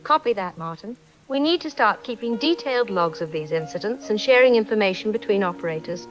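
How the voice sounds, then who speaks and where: An actress playing a calm, watchful radio operator giving measured, procedural reports in an audio drama. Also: female voice, mid-range voice, lo-fi sound, faint music behind, lightly acted. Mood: resolute.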